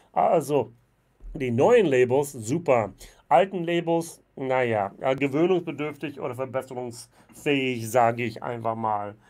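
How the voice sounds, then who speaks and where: A man in his forties from the United States with an American accent, speaking German as a second language in a casual whisky review vlog, chatty with many fillers. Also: a little high. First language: English. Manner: chatty, frequent fillers, gestures with bottles. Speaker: whisky vlogger. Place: indoor room with shelves of whisky bottles. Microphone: webcam at desk.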